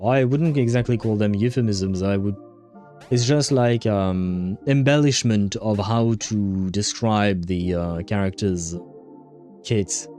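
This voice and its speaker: Mellow-voiced man casually streaming a video game. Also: on the low side, takes it slowly, faint music behind. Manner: muttering to himself, swearing. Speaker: livestream host. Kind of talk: casual gameplay livestream commentary.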